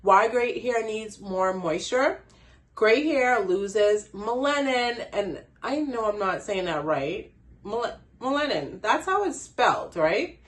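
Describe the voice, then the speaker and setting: A woman's voice: medium pitch, lively intonation, slow. A woman from the Bahamas with a Bahamian accent, giving a casual hair care tutorial in a chatty way and laughing at herself. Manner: chatty, laughs at herself, frequent "you know". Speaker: hair care brand owner.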